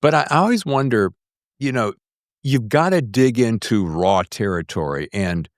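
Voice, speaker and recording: A man's voice: medium pitch, no echo, clean studio sound. A man interviewing a guest as a podcast host, chatting conversationally and acting out quoted remarks as he goes. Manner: animated, acts out quoted remarks.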